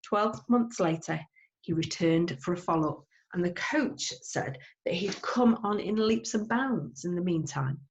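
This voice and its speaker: A woman teacher giving an expressive audiobook-style reading of a children's novel. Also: fairly low pitch, measured pace, dull audio.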